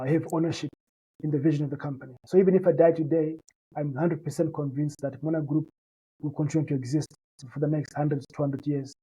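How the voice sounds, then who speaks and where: Zimbabwean guy, shona first language, founder pitching his company over a video call, warm husky voice, lots of uh and repeats. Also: low-quality recording. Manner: earnest, repeats words and restarts, many "uh" fillers. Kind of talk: interview, conversational business pitch.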